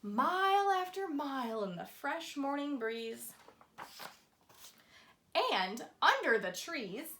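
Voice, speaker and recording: A woman reading a children's story aloud in an animated, theatrical way, leaning on the rhymes with drawn-out emphasis. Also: sits high, reverberant, a little hiss or hum. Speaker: storybook reader.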